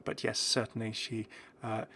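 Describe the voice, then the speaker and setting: A man's voice: fairly low pitch, completely flat delivery, moderate pace, pushed and bright, slight background noise. A man hosting a gaming video with casual, unscripted commentary in a chatty, slightly hesitant way as he reacts to what he sees.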